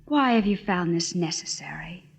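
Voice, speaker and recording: An actress playing an earnest, devoted and anxious young wife in a 1940s-style radio drama, speaking tenderly and often softly. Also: female voice, lowish voice, lively intonation, normal speed, gravelly, slightly rough, full character voice. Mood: earnest, pleading. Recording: dull old radio recording, music behind.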